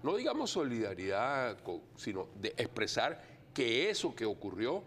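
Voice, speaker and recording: An older man from Venezuela, a TV studio guest talking earnestly and deliberately, with many fillers. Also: medium pitch, wildly swooping pitch, a bit bright, slight background noise. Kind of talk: TV studio interview.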